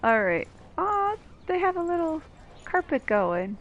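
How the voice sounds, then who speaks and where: A woman's voice: very high-pitched, big pitch swings, measured pace, a little hiss or hum. A woman giving casual let's-play commentary, with playful, wry asides. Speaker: gaming video host. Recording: slightly dull lo-fi mic. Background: faint game music.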